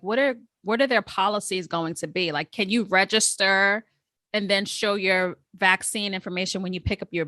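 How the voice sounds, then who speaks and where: A woman's voice: medium pitch, pushed and bright, no echo, studio quality. A woman from the United States hosting a podcast, curious and giving frequent murmurs of agreement. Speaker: podcast host. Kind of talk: casual interview.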